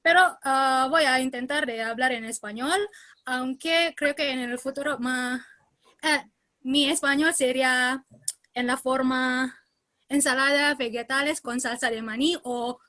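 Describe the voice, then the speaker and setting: A woman from Indonesia with an Indonesian accent, giving a seminar presentation in beginner Spanish, often pausing to search for words, over a video call. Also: sits high, fairly even intonation, pushed and bright, dry recording.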